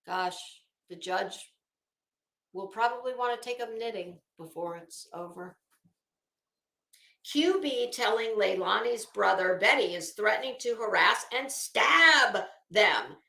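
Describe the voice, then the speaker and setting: A woman with a bright, forceful, slightly high voice reads a document aloud and comments on it in a sardonic, incredulous way. Her delivery is unhurried and blunt, with casual swearing. The recording sounds roomy and echoing. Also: animated, gravelly. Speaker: host of commentary show. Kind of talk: reading a court document aloud with running commentary.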